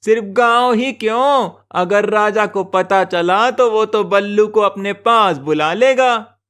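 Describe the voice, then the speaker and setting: A man's voice: high voice, slow, a bit bright, clean tone. A man voicing an admiring, cheerful villager in a cartoon moral story, full of enthusiastic praise. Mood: excited. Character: admiring, cheerful village gossip, ordinary townsman.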